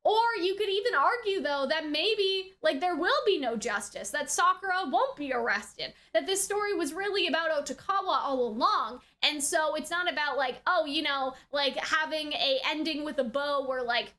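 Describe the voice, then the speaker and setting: A woman with a high-pitched, bright voice talks through an episode review in a casual way. She rambles conversationally as she retells and speculates, tacking little questioning tags onto her phrases. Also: expressive, moderate pace, few pauses. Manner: chatty, rambling, often ends phrases with a questioning tag. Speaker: anime reviewer hosting a reaction video.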